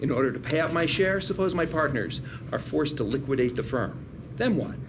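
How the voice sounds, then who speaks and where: Noisy table read. Proud, principled older attorney brother, lecturing and precise, lively higher-pitched delivery. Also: male voice, a little fast. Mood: insistent, argumentative. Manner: precise, didactic, lawyerly argument. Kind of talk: dramatic acting at a script table read. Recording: clearly noisy room recording.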